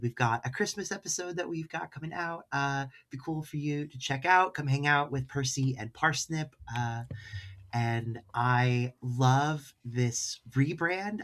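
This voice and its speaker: Podcast guest: mid-range voice, informal and exclamatory, conversational delivery. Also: medium pitch.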